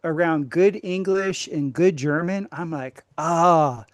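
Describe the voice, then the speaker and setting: A man speaking casually as a podcast guest, animated and emphatic, often restarting his sentences. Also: fairly high pitch, takes it slowly, dry recording, studio quality. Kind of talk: casual chat.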